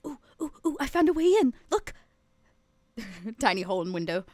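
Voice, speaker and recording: A woman playing an eager, geeky drone pilot in a tabletop roleplaying game, excitable and stammering. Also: sits high, a little fast, no room sound, studio quality. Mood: excited.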